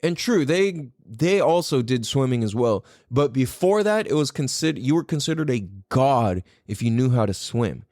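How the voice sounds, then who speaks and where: A man's voice: mid-range voice, very animated, normal speed, few pauses, darkish tone, no room sound, clean studio sound. A man hosting a podcast in a casual, rambling, opinionated way, speaking animatedly and swearing freely. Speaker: podcast host.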